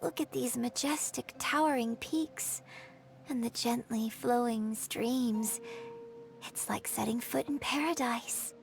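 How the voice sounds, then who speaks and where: Poised, higher-voiced young storyteller. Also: female voice, vocal fry, low-quality recording. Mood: wistful admiring. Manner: measured, eloquent, theatrical storytelling. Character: poised, eloquent young woman opera performer and storyteller. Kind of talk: video game character acting. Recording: background music.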